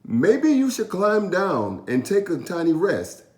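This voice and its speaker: A man voicing a caring, encouraging dad in a children's storybook, gentle and reassuring. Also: sits high, huge pitch swings, slow pace, voice pushed up, exaggerated voice. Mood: concerned.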